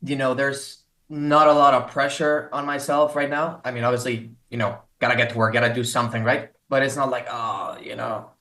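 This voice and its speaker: A man's voice: mid-range voice, a little fast, few pauses. Young man, roomy sound. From Mexico. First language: Spanish. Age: 20s. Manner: relaxed, rambling with many fillers. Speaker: guest. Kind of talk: casual interview.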